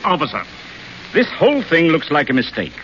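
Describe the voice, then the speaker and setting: A man's voice: fairly high pitch, huge pitch swings, a little fast, pushed and bright, highs cut off, exaggerated voice. An actor playing a flustered, embarrassed middle-aged father who stammers, in a hissy old radio broadcast. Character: flustered wealthy father of grown daughters. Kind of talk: 1930s radio play acting.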